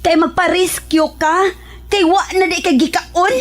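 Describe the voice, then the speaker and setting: Shrill, fed-up Cebuano wife. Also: female voice, steady tone, a little slow. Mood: scolding, indignant. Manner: scolding, emotional delivery. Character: fed-up, quick-tempered wife scolding her irresponsible husband. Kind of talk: Filipino radio drama acting.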